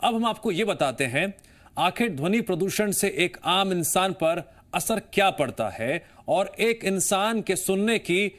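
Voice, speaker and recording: Male news anchor, slightly high voice, scripted presentation. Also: lively intonation, a little slow, fairly bright tone.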